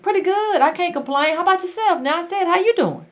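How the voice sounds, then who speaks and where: A woman's voice: extremely high pitch, animated, fast talker. A woman hosting a call-in show, talking bluntly and emphatically and repeating her points to callers. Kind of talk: casual call-in talk.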